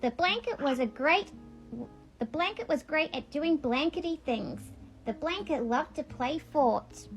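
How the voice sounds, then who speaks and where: A woman's voice: high-pitched, lively intonation, a little slow, faint music behind, poor audio. A woman reading aloud in a childlike storybook manner, with a casual, homemade read-along style. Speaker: vlogger reading a picture book aloud.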